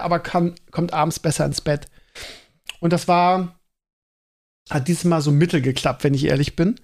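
Guy in his 30s rambling on his podcast, voice a bit high, super casual, keeps cutting himself off. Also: darkish tone. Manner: rambling, self-interrupting, colloquial. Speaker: podcast host; school teacher. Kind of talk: casual solo podcast monologue.

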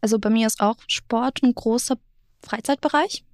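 A woman's voice: fairly high pitch, moderate pace, darkish tone, no room sound, clean studio sound. A woman talking casually as a podcast guest, in an informal, chatty way. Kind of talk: casual chat.

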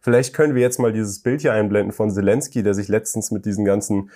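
A man co-hosting a casual podcast, with a voice a little lower than most men's. He speaks earnestly and measuredly, and he stretches out the phrases he wants to stress. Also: fairly even intonation, quick pace, warm tone. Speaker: podcast co-host.

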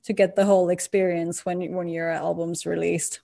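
A woman's voice: fairly low pitch, flat delivery, normal speed, gravelly, mellow. A woman hosting an interview, asking questions in a friendly manner and laughing easily. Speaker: radio interviewer.

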